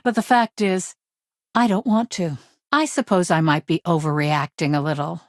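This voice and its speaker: A woman's voice: on the low side, normal speed, dry recording, studio quality, close to an everyday voice. A woman playing a stern, weary middle-aged corporate legal executive in a video game, curt and businesslike. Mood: dry, skeptical. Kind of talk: video game dialogue voice acting.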